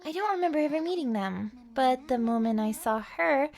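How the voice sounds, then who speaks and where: Small winged game pixie played by a woman: high, animated, earnest, encouraging. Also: fairly quick.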